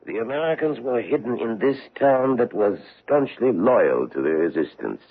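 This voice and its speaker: An actor playing a courteous, probing foreign investigator on the trail of a war criminal, in a 1970s radio drama. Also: male voice, medium pitch, lively intonation, normal speed, slightly rough. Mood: suave, probing. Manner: courteous, probing, gently menacing. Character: suave middle-aged foreign male war-crimes investigator. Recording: tinny old radio broadcast.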